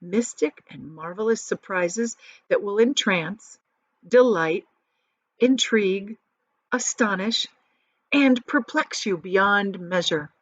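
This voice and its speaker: A woman teacher reading a children's book aloud with expressive, softly varied storytelling. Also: mid-range voice, slow pace, no echo. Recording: dry, dull lo-fi audio.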